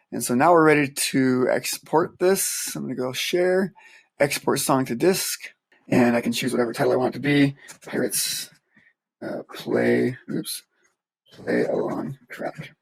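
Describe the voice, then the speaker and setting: Soft-voiced man with a gravelly husky tone. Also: mid-range voice, moderate pace. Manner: calm step-by-step explaining, counting aloud. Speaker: music teacher. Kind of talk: screen-recorded software tutorial.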